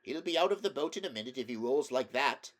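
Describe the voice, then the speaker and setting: A man voicing a chirpy water rat, raised pitch. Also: lively intonation, quick pace, lightly acted. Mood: easygoing. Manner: chirpy, chummy, exclaiming. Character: cheerful, sociable male water rat, easygoing host. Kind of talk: storybook character voice in a read-aloud.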